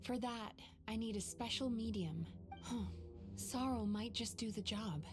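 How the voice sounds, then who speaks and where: A woman playing a composed, knowing nightmare eater in a video game, calm, measured and quietly compassionate. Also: a little high, music in the background, close to an everyday voice. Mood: calm, serious. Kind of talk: polished video game voice acting.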